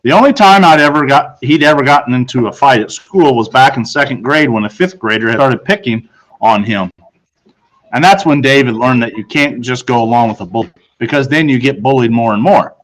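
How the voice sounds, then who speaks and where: Man reading a children's story, medium pitch. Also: expressive, brisk, fairly bright tone, poor audio. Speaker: teacher reading aloud. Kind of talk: children's book narration.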